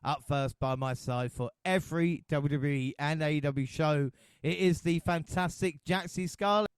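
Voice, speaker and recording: A man's voice: fairly high pitch, normal speed, clean tone, no room sound, studio quality. A man hosting a wrestling podcast, joking and laughing through casual chat. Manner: jokey, laughs often, mumbles asides. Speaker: podcast host.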